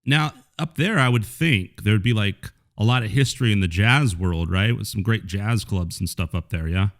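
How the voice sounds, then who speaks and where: A man hosting a podcast, asking easygoing questions and checking with a quick "right?". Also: on the low side. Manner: laid-back, chatty, asks leading questions with "right?". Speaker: podcast host. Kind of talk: casual interview chat.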